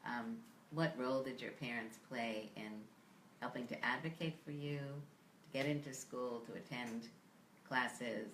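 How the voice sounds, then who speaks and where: A woman's voice: very deep voice, fairly even intonation, a little slow, fairly bright tone, echoey room, slight background noise, rough recording. A woman hosting an interview in a friendly way, laughing easily. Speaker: host. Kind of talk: interview host.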